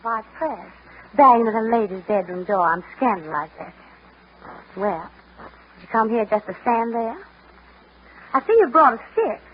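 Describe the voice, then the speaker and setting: An actress playing a proud, spoiled young belle in a vintage radio drama. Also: female voice, on the high side, animated, normal speed, pauses often, close to an everyday voice. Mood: teasing. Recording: muffled, noisy old radio broadcast.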